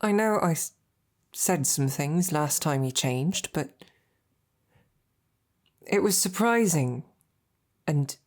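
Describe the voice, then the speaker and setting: A woman playing a devoted, tender and teasing female knight in intimate ASMR roleplay, speaking softly and haltingly, with affection, in a breathy, often whispered voice. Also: low voice, expressive. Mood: tender, reassuring.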